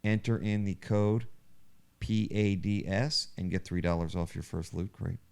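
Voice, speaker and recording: A man's voice: deep voice, steady tone, unhurried, gravelly, a little mellow, clean tone. A man hosting a casual podcast and chatting informally. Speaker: podcast host. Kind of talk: casual podcast chat with sponsor plug.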